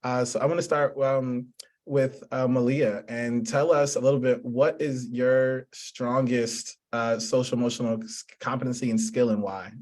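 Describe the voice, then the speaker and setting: Even-toned man moderating a panel. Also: mid-range voice, moderate pace. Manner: appreciative, thanking and affirming speakers. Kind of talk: moderating a student panel, unscripted.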